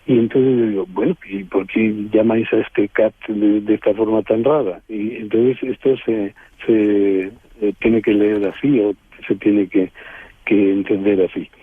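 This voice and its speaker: A husky, fairly low-voiced man recounts his travels to an interviewer. He wanders and restarts, ending phrases with little checking questions. The sound is thin, like a phone line. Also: steady tone, no room sound. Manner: halting, digressive, frequent tag questions. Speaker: guest, travel writer. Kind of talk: conversational travel anecdotes in an interview. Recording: muffled, phone-like narrowband line.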